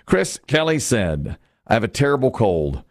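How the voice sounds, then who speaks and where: Lively, jokey male radio host. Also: medium pitch, moderate pace. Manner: jokey, laughs often, quizzes players. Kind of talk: hosting an on-air word game.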